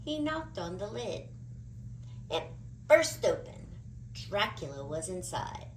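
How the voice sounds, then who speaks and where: Female storybook reader, expressive and dramatic, wide pitch movement, measured pace. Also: a little hiss or hum. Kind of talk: animated read-aloud story narration.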